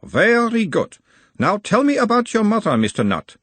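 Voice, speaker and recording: A man's voice: high-pitched, wildly swooping pitch, a bit bright, no room sound, voice pushed up, exaggerated voice. Man doing a soothing foreign-accented hypnotist. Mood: coaxing. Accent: mild Uberwaldian. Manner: slow, soothing, insistent questioning. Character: calm male hypnotist questioner, foreign-accented.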